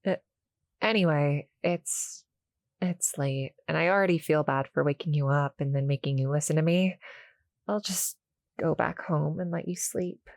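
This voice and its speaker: A woman voices a shy, gentle monster girl in an intimate audio roleplay. Her voice is low for a woman and recorded very dry and close. She talks softly and hesitantly, polite and apologetic toward the listener. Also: moderate pace, no room sound. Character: shy, gentle female cuddle-monster succubus.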